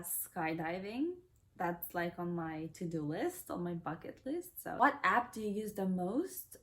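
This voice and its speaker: A young woman whose first languages are Kazakh and Russian, reading questions aloud and answering them in a relaxed, rambling way with plenty of fillers. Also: medium pitch. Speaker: vlogger. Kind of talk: casual Q&A vlog.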